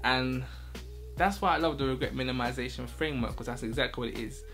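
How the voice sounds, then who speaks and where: Male vlogger, mid-pitched, relaxed chatty delivery, soft music bed behind him. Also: fast. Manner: chatty, loose, checks in with the listener often. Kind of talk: casual talk to camera.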